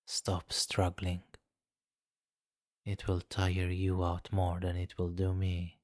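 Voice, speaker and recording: Man playing a deep, slow, imperious vampire king. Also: no room sound, clean studio sound. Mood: taunting, predatory. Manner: slow, commanding, teasing, softly menacing. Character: seductive, menacing, imperious vampire king, condescendingly amused. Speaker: roleplay voice performer. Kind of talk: intimate ASMR fantasy roleplay.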